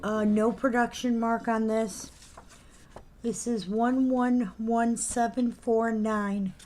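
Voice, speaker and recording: A woman's voice: fairly high pitch, level tone, slow pace, darkish tone, slight background noise. Woman losing her voice, dry teasing. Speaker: co-host of a live collectibles show. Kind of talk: casual live-stream chat, reading out item numbers.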